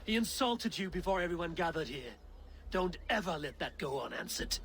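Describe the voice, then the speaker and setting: A man's voice: on the high side, very animated, normal speed, creaky, fairly bright tone, a little hiss or hum, heavily acted. A man playing a hard, blunt Viking warrior in a video game, speaking in curt, commanding threats. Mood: menacing. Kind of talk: dramatic video game cutscene acting.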